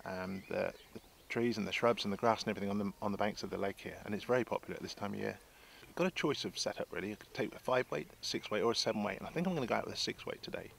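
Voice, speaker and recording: A man's voice: lowish voice, racing, gravelly, fairly bright tone. A man in his sixties, a fishing guide, explaining his tackle setup in a chatty way from a small boat on a lake. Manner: chatty, explanatory, many restarts. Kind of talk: outdoor how-to demonstration. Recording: outdoor wind and water noise.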